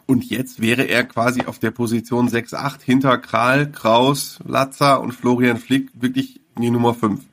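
A man, a sports reporter, chatting in a podcast interview with plenty of fillers and restarts. His voice is of middle pitch and he talks easily, as if over a call line. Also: flat delivery, a little slow, few pauses, a bit bright, a little hiss or hum.

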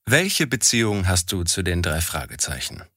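A man's voice: low voice, big pitch swings, moderate pace, pushed and bright, no room sound. A man hosting, reading a scripted intro and asking interview questions. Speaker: interviewer, host.

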